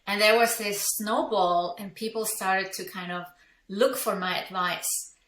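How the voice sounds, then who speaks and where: A woman's voice: mid-range voice, fairly even intonation, a little slow, fairly bright tone, roomy sound. A woman from Iceland, a business coach and entrepreneur, telling her story candidly in an interview, with frequent fillers and restarts.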